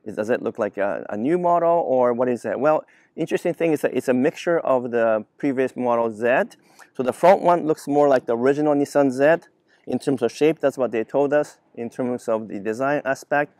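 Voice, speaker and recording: Car reviewer: man, 50s, from Japan, mid-pitched voice, keen and explanatory, close dry outdoor sound. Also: darkish tone, no echo. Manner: enthusiastic, explanatory. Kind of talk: car review to camera, semi-scripted casual talk. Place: outdoors on a quiet leafy residential street. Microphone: clip-on lavalier mic on the chest.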